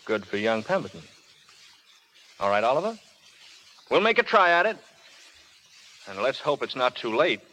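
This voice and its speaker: A man's voice: mid-range voice, very animated, moderate pace, pauses often, sharp tone. An actor playing a proud but wounded movie star past his peak, in 1930s radio drama style. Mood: wounded, resigned. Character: anxious fading male film star, proud but wounded. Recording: noisy old 1930s radio broadcast.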